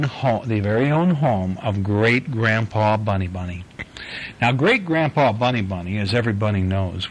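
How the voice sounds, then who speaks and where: A man reading a picture book aloud to his young daughter at bedtime at home, gently and unhurried. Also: fairly low pitch, a little hiss or hum, rough recording. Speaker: father reading a bedtime story. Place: home bedroom.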